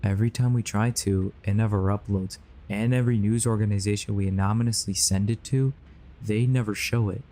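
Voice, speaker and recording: A man's voice: fairly low pitch, fairly even intonation, a little fast, mellow, close to an everyday voice. A man playing a grave, earnest believer warning others of a sinister conspiracy in an audio drama. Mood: grave, urgent. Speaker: voice actor.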